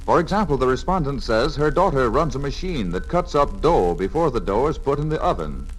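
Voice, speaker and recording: A man with an American accent delivers scripted instruction for a 1950 training filmstrip. His medium-pitched voice moves in lively steps as he explains things clearly and methodically. It comes from a vintage record, with faint music behind it. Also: a little fast, rough recording. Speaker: training film narrator.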